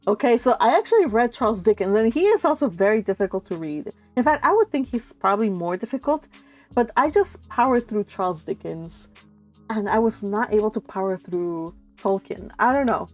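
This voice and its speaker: A woman's voice: mid-range voice, expressive, brisk, faint music behind. Gushing woman chatting on a livestream. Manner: gushing, giggly, rambling with self-interruptions. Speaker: livestream host of a book read-along channel. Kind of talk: casual live-stream chat, reading out viewer comments.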